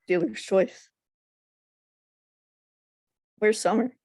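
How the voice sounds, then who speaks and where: A woman's voice: medium pitch, extremely slow, darkish tone, dry recording, clean studio sound. A woman playing a sardonic, teasing teenage best friend at a table read of a film script.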